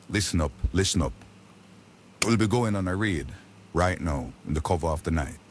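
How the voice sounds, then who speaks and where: An actor playing a police commander giving officers a brisk, commanding briefing before a night raid in a skit. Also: male voice, a bass voice, slight background noise. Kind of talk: comedy skit acting.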